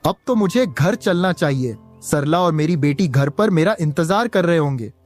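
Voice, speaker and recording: A man's voice: a little high, animated, normal speed, faint music behind, close to an everyday voice. A man voicing a poor, patient village pot seller and father in a cartoon, earnest and plaintive. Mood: thoughtful. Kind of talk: Hindi cartoon story dialogue.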